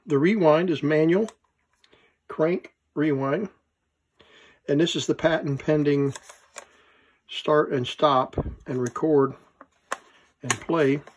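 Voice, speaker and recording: A man who collects vintage gear gives a casual, unscripted hands-on demonstration, thinking aloud in halting short fragments. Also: fairly high pitch, husky. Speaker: vintage electronics collector presenting his item.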